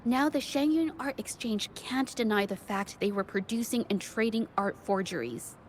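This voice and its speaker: A woman's voice: a little high, expressive, moderate pace, a little hiss or hum, natural voice. A shy, stammering young painter, voiced by a woman. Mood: flustered. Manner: stammering, hesitant. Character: shy young female painter, nervous but earnest. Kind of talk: game voice acting. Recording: faint music underneath.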